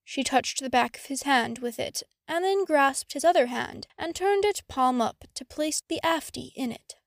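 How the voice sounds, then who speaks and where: A woman's voice: high-pitched, lively intonation, moderate pace, no echo, studio quality. A woman reading a story aloud as scripted audiobook narration. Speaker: fan fiction audiobook narrator.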